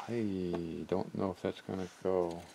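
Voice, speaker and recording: A man narrating a hands-on repair casually, haltingly thinking aloud as he works. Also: sits low, fairly even intonation, vocal fry, mellow, a little hiss or hum. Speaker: repair hobbyist and video maker. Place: indoor cluttered electronics workbench.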